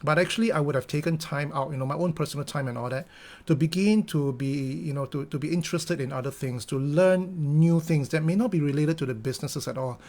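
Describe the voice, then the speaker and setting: A man's voice: a little high, a little fast, a little mellow. A middle-aged Singaporean man with a Singaporean English accent, giving an earnest motivational talk full of fillers and restarts. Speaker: company founder giving a talk.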